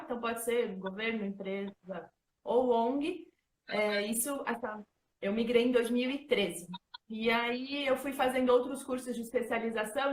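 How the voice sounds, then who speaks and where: Chatty São Paulo woman in an echoey room. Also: fairly high pitch. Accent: São Paulo Portuguese. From São Paulo, Brazil. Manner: chatty, many fillers like "né" and "então". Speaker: host and facilitator. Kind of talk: casual presentation talk.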